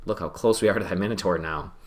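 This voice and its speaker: A man's voice: sits low, fast. A man hosting a podcast, chatting casually while playing a game, rambling and self-deprecating and laughing often. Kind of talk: casual chat while gaming.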